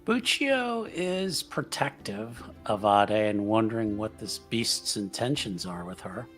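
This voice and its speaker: A man's voice: fairly low pitch, expressive, slow pace, faint music behind. A man playing in a tabletop roleplaying game, joking dryly and restarting his words often. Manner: dry joking, stammering restarts. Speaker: player.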